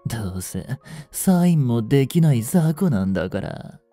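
A man acts out a young kidnapper from a romance audio drama, bossy and hungry for money. His mellow voice moves through wide swings of pitch as he taunts in rough, casual speech. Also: sing-song, normal speed, dry recording, faint music behind, natural voice. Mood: tipsy, bossy.

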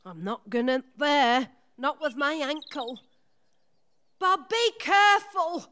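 A woman's voice: sits high, expressive, takes it slowly, bright, forceful tone, a little hiss or hum, full character voice. A woman playing a fretful, scolding wife in a radio play, calling out in exasperation. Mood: impatient. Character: nagging, fretful wife afraid of heights. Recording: echoey, slightly distant from mic.

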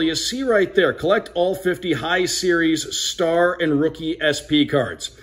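Upbeat man with a higher-pitched voice. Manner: upbeat, addresses viewers directly. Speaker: sports broadcaster hosting a card unboxing. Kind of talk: casual unboxing commentary. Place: indoor room at a wooden table.

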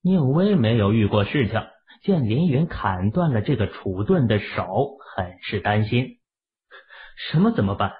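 A man's voice: lowish voice, expressive, a little slow, hardly any pauses. A man narrating a novel as a scripted, dramatic audiobook reading. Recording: tinny, narrow-band phone-like audio.

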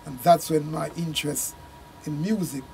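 An older man from Jamaica speaks with a gravelly, slightly raised voice, winding through memories at an easy pace. His Jamaican turns of phrase colour every sentence. The room tone is faint, with a light hiss under the voice. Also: on the high side, darkish tone. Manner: unhurried, rambling recollection with Jamaican turns of phrase. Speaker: reggae singer and sound system man, interview guest. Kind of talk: relaxed storytelling interview. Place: small studio room with screens.

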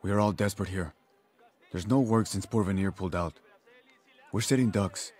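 Weary, deep-voiced villager. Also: male voice, normal speed, a little mellow. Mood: bitter, weary. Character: weary, bitter young villager, downtrodden and resigned. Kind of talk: video game cutscene acting.

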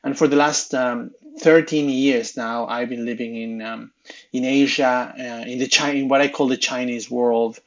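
A man's voice: medium pitch, steady tone, moderate pace, lo-fi sound. A man in his thirties with a Mexican Spanish accent, giving a recorded slide lecture as a university lecturer, hesitant with many fillers and restarts.

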